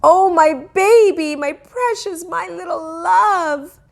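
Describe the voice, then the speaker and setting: A woman voicing an emotional storybook mother who is doting yet quick-tempered, with a dramatic, fussing manner. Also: very high-pitched, creaky, voice pushed up, heavily acted. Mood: doting, anguished.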